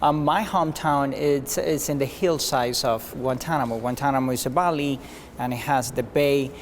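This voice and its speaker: A male doctor from Cuba answers questions in a measured, earnest way, often restarting a sentence midway. He speaks at an ordinary pitch. Faint room noise sits behind him. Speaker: guest physician. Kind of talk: conversational interview answers.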